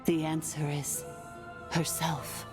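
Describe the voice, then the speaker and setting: A woman's voice: sits low, flat delivery, takes it slowly. A woman playing a grave, formal artificial dragon sovereign recounting ancient history in a video game. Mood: grave, solemn. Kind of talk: scripted video game dialogue. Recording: game music behind the voice.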